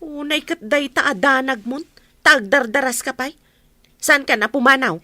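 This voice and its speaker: A woman's voice: sits high, moderate pace, no room sound. A woman with an Ilocano accent playing a jealous, domineering middle-aged aunt in a radio drama, nagging and scolding. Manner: sharp, nagging.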